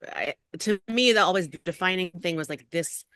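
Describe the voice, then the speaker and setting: A woman's voice: fairly low pitch, moderate pace, sharp tone, dry recording, clean studio sound. A middle-aged woman, an actor and acting coach, talking casually as an interview guest and rambling with lots of "like" and "you know".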